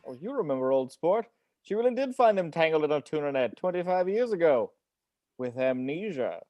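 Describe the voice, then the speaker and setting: Male, high-pitched and lively; actor as a hearty, old-fashioned, theatrical patriarch in a gothic family comedy. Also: brisk. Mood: jovial. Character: exuberant, genteel patriarch of a macabre comic family. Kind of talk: amateur table read of a film comedy.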